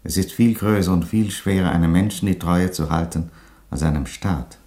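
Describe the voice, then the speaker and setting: An actor playing an aging Roman emperor in a radio play, an unhurried, wry father with a dry, sententious delivery. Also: low-pitched, dark tone. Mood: wry, earnest.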